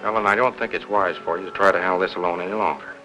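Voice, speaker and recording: An actor playing a concerned, persuasive family doctor in a 1950s film melodrama, with an American accent. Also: male voice, deep voice, fairly even intonation, racing, pushed and bright, muffled recording, soft background music. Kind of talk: 1950s Hollywood melodrama acting. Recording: old 1950s film soundtrack.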